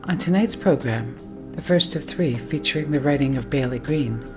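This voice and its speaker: Low-voiced woman hosting a story show. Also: music in the background. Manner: measured, formal announcing. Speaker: radio show host. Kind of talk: scripted radio introduction.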